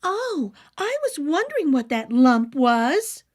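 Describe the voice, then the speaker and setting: A woman's voice: high-pitched, very animated, slow, darkish tone, voice pushed up, full character voice. A woman voicing a young, girlish, matter-of-fact princess in a fairy tale. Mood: realizing.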